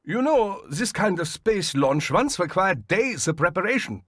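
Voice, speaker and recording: Brainy German scientist, German accent, high voice, lively intonation, precise and excitable, game cutscene. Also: male voice, a little slow, no room sound, studio quality, heavily acted. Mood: pleased, wry. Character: brainy, wry German scientist and helicopter pilot. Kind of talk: video game cutscene acting.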